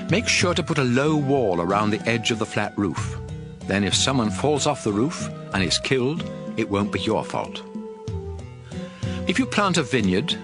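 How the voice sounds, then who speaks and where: A man reading scripture as an audio Bible narrator, in a measured, solemn, instructive way. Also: mid-range voice. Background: music under the voice.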